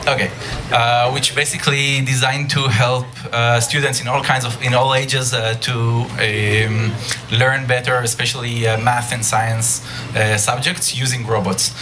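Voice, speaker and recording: A man's voice: mid-range voice, little pitch movement, measured pace, few pauses. A man with an Israeli accent giving a live tech talk to an audience, hesitating often with ums and uhs. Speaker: speaker at a nerd talk night. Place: bar venue before an audience. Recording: noisy live room.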